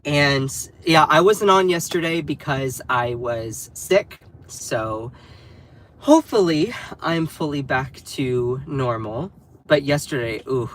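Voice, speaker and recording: A man's voice: medium pitch, a little slow, fairly bright tone. Chatty man, nasal from a cold. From North Carolina. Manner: chatty, addresses the live chat directly. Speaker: political livestream host. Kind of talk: casual live commentary.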